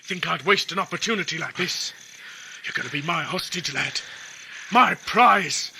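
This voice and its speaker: A man's voice: a little high, animated, normal speed, gravelly, bright, forceful tone, husky, heavily acted. A man playing a menacing, gloating captor in an amateur audio drama, taunting and mocking his prisoner. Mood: gloating menace. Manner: taunting, sneering.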